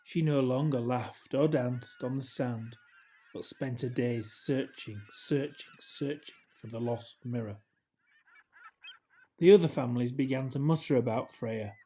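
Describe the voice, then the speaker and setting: A man narrates a children's picture book at a slow, unhurried pace. His voice is mellow and medium-pitched. He reads gently and expressively, sinking into a hush for dramatic effect. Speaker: storybook reader. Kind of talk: scripted children's story narration, read aloud.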